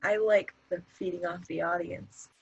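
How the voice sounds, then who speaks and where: A woman with a husky voice chatting casually as a performer on a video call. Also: fairly low pitch, fairly even intonation, normal speed, dull audio. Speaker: stage performer. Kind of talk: casual chat.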